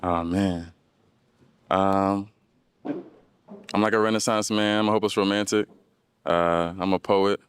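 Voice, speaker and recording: A man's voice: sits low, steady tone, measured pace, long pauses, clear, resonant tone. A man in his thirties, a dating show contestant, answers questions hesitantly with many ums and uhs. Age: 30s.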